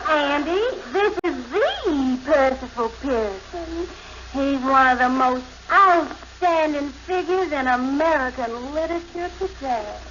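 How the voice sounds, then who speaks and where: A high-voiced young society girl. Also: expressive, takes it slowly, a bit bright, full character voice. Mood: formal. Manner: genteel, gushing, polite. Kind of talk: 1950s radio sitcom acting. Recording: dull vintage radio, faint noise.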